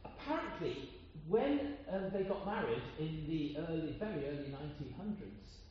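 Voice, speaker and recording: An older man from with a loud voice, preaching an informal sermon in a chatty, meandering way with frequent pauses. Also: a little high, very animated, slight background noise. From Coventry, England. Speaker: lay preacher. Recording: echoey hall sound, dull lo-fi.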